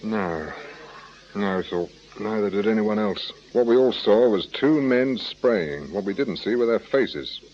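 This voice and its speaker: An actor playing a shrewd, dry police inspector with a wry, probing manner in a radio crime drama. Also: male voice, fairly low pitch, animated, unhurried, a little mellow. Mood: wry, deliberate. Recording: muffled, noisy radio drama recording.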